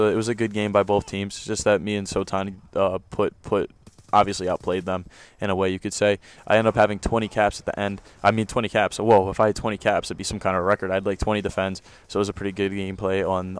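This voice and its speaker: A young man with a deep voice giving loose, rambling commentary over his own gameplay, recorded on a close home mic. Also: smooth. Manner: rambling, self-correcting, loose slang. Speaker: gaming commentator.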